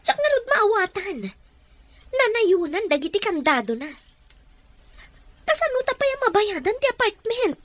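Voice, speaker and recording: A woman's voice: extremely high pitch, very animated, a little slow, long pauses, no echo, exaggerated voice. A woman acting a deferential, anxious household servant in an Ilocano audio drama. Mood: upset, pleading.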